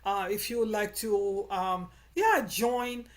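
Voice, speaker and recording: A woman hosting a casual live-stream chat, talking in an excited, rambling, upbeat way. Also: medium pitch, slow pace. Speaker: show host.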